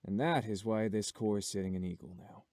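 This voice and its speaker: A man acting a low, weary scientist father. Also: a little fast, a little mellow, dry recording, clean studio sound, natural voice. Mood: grave, earnest. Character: weary, remorseful scientist father confessing to his son. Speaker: game character in recorded message. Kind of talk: dramatic visual novel acting.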